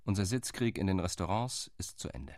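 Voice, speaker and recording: A measured male diplomat in a radio play, calculating and reserved, his voice low and deep, as an actor plays him. He reports in an even, sober tone. The studio sound is close and dry. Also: no room sound. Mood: reporting. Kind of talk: radio drama monologue.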